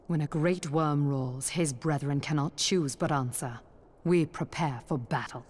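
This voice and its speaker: A woman playing a composed, dutiful female knightly officer in a video game, speaking in a formal, measured, grave manner. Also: sits low, a little mellow. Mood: serious, resolute. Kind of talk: scripted video game dialogue.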